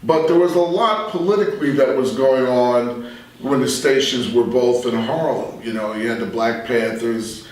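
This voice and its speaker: An American man in his sixties speaking as a veteran radio journalist in a reflective interview, measured and halting with emphatic asides. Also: medium pitch, recorded from a distance. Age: 60s. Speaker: veteran radio journalist and talk show guest. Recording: very echoey room, off the mic.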